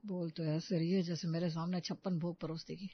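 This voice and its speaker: A woman voicing a weary, sarcastic husband who grumbles and gets embarrassed, in an animated family story. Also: on the low side, fairly quick, breathy edge, muffled recording. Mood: sullen.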